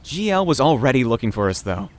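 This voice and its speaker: A man playing a gentle, reassuring young man in a visual novel game, with faint noise behind him. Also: medium pitch, very animated, fast, close to an everyday voice. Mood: earnest, consoling.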